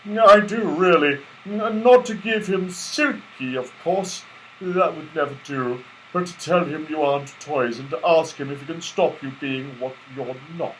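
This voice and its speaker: A man's voice: on the high side, measured pace, fairly bright tone, a little hiss or hum, voice pushed up, low-quality recording. A man voicing a kindly, sentimental toy rabbit in a children's storybook, gushing and a little hesitant. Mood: eager, helpful.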